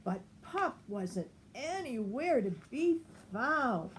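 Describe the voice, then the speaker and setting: Slow, lively older woman reading a storybook. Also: high voice, a little hiss or hum. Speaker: grandmother reading a children's story.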